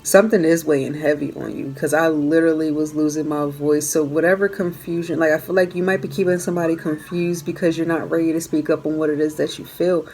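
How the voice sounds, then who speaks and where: Low-voiced woman giving a tarot reading. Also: little pitch movement, fast, few pauses, darkish tone, a little hiss or hum. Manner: earnest, repeats phrases while coaching the listener. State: hoarse, losing her voice. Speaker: tarot reader. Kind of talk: casual tarot reading for viewers.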